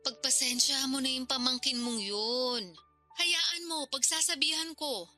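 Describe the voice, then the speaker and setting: A woman's voice: high-pitched, fairly even intonation, measured pace, pushed and bright, soft background music, exaggerated voice. A woman playing a defensive, confrontational older sister and protective mother in a Tagalog radio drama. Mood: pleading. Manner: indignant, challenging.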